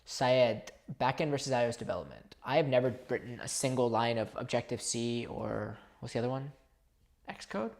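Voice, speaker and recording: A man's voice: mid-range voice, normal speed, gravelly, darkish tone. Rambling young-sounding male streamer. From India. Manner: rambling, restarts, chatty asides to viewers. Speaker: livestreamer. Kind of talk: casual live-stream chat.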